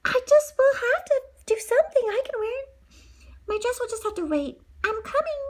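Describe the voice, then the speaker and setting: Een lieve jonge prinses uit een prentenboek, voorgelezen door een vrouw. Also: female voice, very high voice, lively intonation, normal speed, voice pushed up. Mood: sweet, hopeful. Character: gentle sweet young woman, kind and hopeful.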